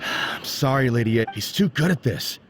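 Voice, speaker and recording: A woman's voice: very deep voice, animated, moderate pace, a little hiss or hum. A woman voicing a subdued, respectful intelligence agent in a video game, deferential in manner. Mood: apologetic.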